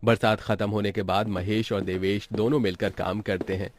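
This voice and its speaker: Male storyteller, fairly deep voice, scripted moral-story narration, some background noise. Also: little pitch movement, normal speed.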